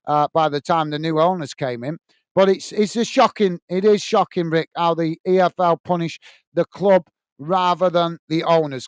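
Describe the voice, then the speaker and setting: A man's voice: sits high, no echo. A man with a Lancashire accent hosting a casual football live stream from a small home studio, talking bluntly and passionately and addressing viewers by name. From England. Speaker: football channel host reading live chat.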